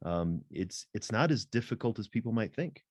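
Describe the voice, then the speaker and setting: A middle-aged man talks as a podcast guest, measured and deliberate, often checking in with "right?". His voice is somewhat low. The sound is dry and close. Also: animated, dry recording. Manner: deliberate, thoughtful, frequent "um" and "right?". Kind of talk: interview conversation.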